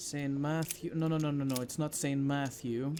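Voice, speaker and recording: A man's voice: mid-range voice, fairly even intonation, normal speed, darkish tone, slight background noise. A man hosting a gaming video, thinking aloud and grumbling in casual commentary as he plays.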